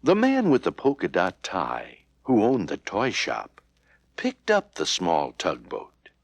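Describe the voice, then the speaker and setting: Low, hugely expressive male storybook narrator. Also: slow, no echo. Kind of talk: scripted children's story narration. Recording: clear music behind the narration.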